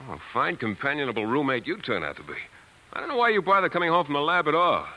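Sharp, exasperated young college roommate. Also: male voice, fairly high pitch, big pitch swings, fast, low-quality recording. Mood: exasperated teasing. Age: young adult. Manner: wisecracking, slangy, fussing. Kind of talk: 1940s radio acting. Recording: vintage 1940s radio broadcast.

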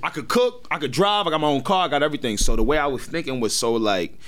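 A young man in his twenties co-hosts a relaxed podcast chat. His pitch swings widely as he talks, and he jokes and laughs easily. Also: fast. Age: 20s. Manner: joking, animated, laughs often. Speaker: co-host. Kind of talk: casual chat.

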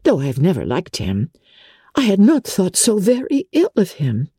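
A woman voicing an indignant, easily shocked young gentlewoman in a dramatic storybook reading, earnest and exclamatory. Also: lowish voice, wildly swooping pitch, normal speed, husky, dry recording, clean studio sound, voice pushed up, full character voice. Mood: appalled, earnest.

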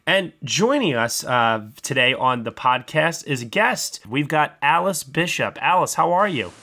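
A man hosts a film review podcast in an upbeat, chatty way. His voice is bright and forceful. He welcomes his guests and moves the talk along with ease. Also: on the high side, expressive, a little slow. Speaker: podcast host.

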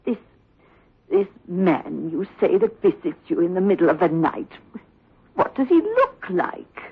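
An actress playing a soothing, controlling aunt, slow and coaxing, in a 1960s radio horror drama. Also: female voice, fairly low pitch, big pitch swings, breathy edge, exaggerated voice. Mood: coaxing, dismissive. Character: soothing, controlling aunt doting on her nephew. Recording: muffled old radio recording.

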